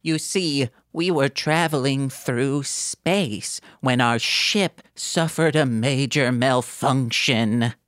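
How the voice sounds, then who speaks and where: A woman's voice: extremely low pitch, slow pace, hardly any pauses, no echo, clean studio sound. A woman voicing a creepy, doting space-alien man who runs a roller rink, with sing-song menace, in a comedic table read. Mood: smooth scheming.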